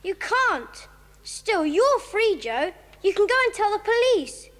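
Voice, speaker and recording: A woman's voice: very high-pitched, big pitch swings, slow, a bit bright, full character voice. A woman playing a polite, capable English schoolboy who takes charge in a children's audio drama. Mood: urgent, concerned. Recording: long reverberant hall sound.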